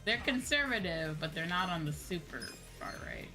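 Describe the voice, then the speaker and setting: A woman from the United States chats casually as she streams a game. Her lowish voice leaps dramatically in pitch and she swears freely. Game music is clear behind her. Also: moderate pace. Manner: animated, sweary. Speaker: VTuber streamer.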